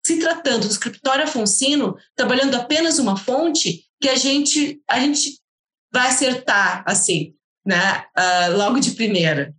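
A woman's voice: on the high side, lively intonation, measured pace, a bit bright, reverberant. A woman speaking as a guest historian in a casual interview, rambling with many restarts and fillers.

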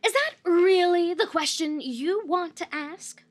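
A woman playing a tough-as-nails, cocky young mayor in a comic radio play, boasting in a drawn-out swagger. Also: very high-pitched, expressive, soft background music, pitched above the performer's own voice. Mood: cocky, menacing.

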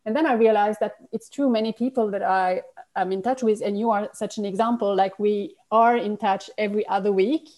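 A woman's voice: medium pitch, fairly even intonation, fairly quick, a little mellow. A woman, a guest in a conversational interview, talking reflectively with many restarts and fillers. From Switzerland. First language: French.

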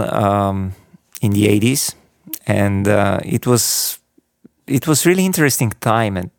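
Gravelly man. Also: lowish voice, no echo, studio quality. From Bulgaria. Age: 30s. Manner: warm, laughs often, many fillers. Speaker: guest conductor. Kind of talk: casual interview chat.

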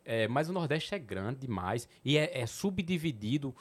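A young man from Petrolina with a sertão Pernambuco accent, a comedian chatting on a podcast, animated, laughing often and swearing casually. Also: medium pitch, moderate pace. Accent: Pernambuco sertão, São Francisco valley. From Petrolina, Pernambuco, Brazil.

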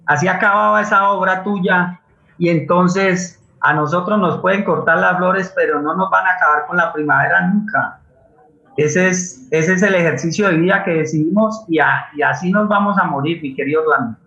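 A man's voice: sits high, moderate pace, sharp tone, echoey room, highs cut off. A middle-aged man with a Colombian accent, speaking earnestly and emphatically in an impassioned conversational style as a guest at a radio forum. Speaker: playwright and community theatre leader, interview guest.

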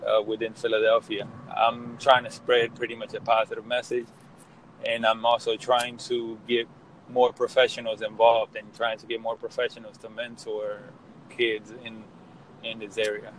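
A man in his thirties from the Dominican Republic, a software developer, talking in a halting, rambling way in a casual video chat. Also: on the low side, monotone, slight background noise, rough recording. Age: 30s. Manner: rambling, halting, many restarts, laughs easily.

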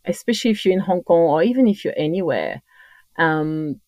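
A woman in her fifties with a French accent talks in a loose, rambling way, often restarting her sentences. Her voice sits on the low side. The sound is dry and close. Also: expressive, fairly quick, a little mellow, no echo. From Corsica, France. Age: 50s. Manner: chatty and rambling, with many restarts and fillers. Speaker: podcast guest, trail runner. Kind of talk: casual interview chat.

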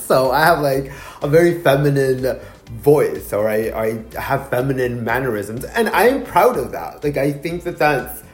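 Man, thirties, podcast co-host, candid casual talk, mid-pitched voice, echoey room, faint music underneath. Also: lively intonation, unhurried. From Mexican heritage. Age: 30s. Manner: candid, laughs often, swears casually. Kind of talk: casual chat.

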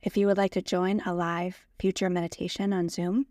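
Lower-pitched woman guiding a meditation. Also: flat delivery, darkish tone. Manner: measured phrases with frequent pauses. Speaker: guided meditation leader. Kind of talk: guided meditation, slow soothing instruction.

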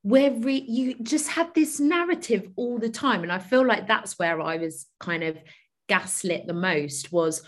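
A woman guest speaking thoughtfully in a casual discussion, measured and drawing out key words. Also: medium pitch. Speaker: guest, co-founder.

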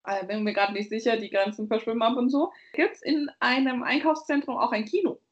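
A woman's voice: a little high, steady tone, brisk, highs cut off. A woman from Bavaria co-hosting a podcast, chatting casually, rambling and laughing often. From Bavaria, Germany. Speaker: podcast co-host. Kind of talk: casual chat.

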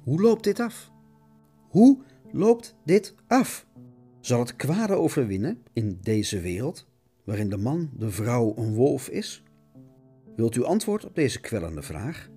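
A man's voice: mid-range voice, big pitch swings, slow pace, dark tone, husky, soft background music. A man narrating a scripted radio essay in a melodramatic, mock-dramatic, declamatory manner.

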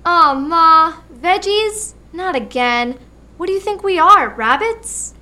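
A woman's voice: extremely high pitch, animated, slow pace, pushed and bright, exaggerated voice. A woman playing a grumbling, sassy American schoolchild in a radio play.

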